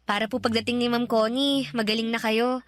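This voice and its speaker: A woman's voice: on the high side, level tone, brisk, close to an everyday voice. An actress playing a polite, caring eighteen-year-old housemaid in a radio drama, fussing and hesitant as she speaks. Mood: gentle, caring. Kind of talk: Tagalog radio drama acting.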